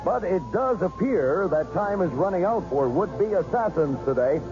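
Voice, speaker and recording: An actor playing an excitable live inauguration commentator with a dramatic sportscaster delivery in a satirical radio play. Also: male voice, high-pitched, normal speed, music in the background. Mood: solemn, reporting. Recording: muffled radio sound, heavy street noise.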